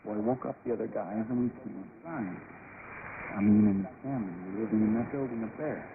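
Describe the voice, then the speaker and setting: A man's voice: on the low side, brisk, narrow, tinny audio. A man delivering interview testimony in short statements, heard in a shortwave radio report. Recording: noisy band-limited shortwave radio broadcast.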